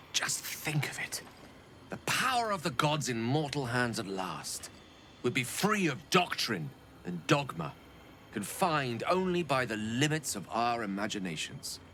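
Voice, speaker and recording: An eloquent wizard from a game, lowish in pitch but bright and forceful in tone. His delivery is articulate and theatrical, with lively swings of pitch. A faint noise sits under the voice. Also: exaggerated voice. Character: eloquent male wizard, refined and ambitious.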